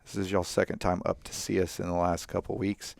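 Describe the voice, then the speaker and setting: A relaxed man hosts a podcast. His voice sits low, and the studio sound is dry and close. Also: a little fast, gravelly, dry recording. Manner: relaxed, friendly, says y'all. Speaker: podcast host. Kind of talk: casual podcast chat. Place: dark studio set with leather chairs.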